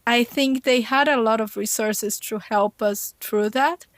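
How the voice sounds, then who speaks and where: A woman speaks as a podcast guest, her voice sitting a touch high. She talks in a reflective, personal way, often voicing her own past thoughts aloud. The sound is dry and close, with no room echo. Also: unhurried. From Brazil. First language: Portuguese. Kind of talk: reflective conversational storytelling.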